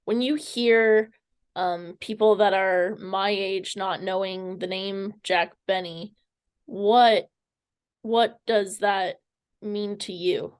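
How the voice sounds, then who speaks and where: A woman's voice: medium pitch, fairly even intonation, slow, clean tone. A woman speaking as an interviewer in a conversational style.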